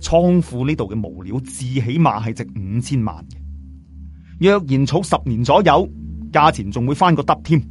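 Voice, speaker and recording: Male narrator as knowledgeable man, plain explanatory delivery, measured, audiobook, faint music bed. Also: mid-range voice, animated, natural voice. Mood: matter-of-fact. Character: matter-of-fact male jade expert, explaining.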